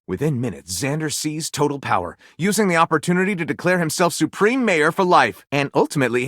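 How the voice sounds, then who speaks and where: Theatrical, swooping storyteller. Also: male voice, fairly high pitch, a bit bright, no echo, studio quality. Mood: amused. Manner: grandiose, mock-dramatic. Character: whimsical, theatrical male storyteller, eager and mock-literary. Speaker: video game character voice actor. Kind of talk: theatrical storybook narration with comic commentary.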